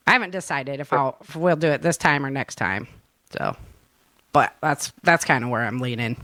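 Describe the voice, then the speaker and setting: Chatty woman host with a lowish voice. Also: a bit bright, no room sound. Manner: enthusiastic, informal, laughs readily. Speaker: author and show host. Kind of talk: casual chat.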